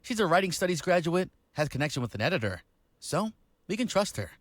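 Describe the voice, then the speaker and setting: A man's voice: high-pitched, sing-song, fairly quick, no room sound, studio quality. An actor playing an earnest, friendly young male startup founder, explaining supportively in a visual novel game. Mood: earnest, proud.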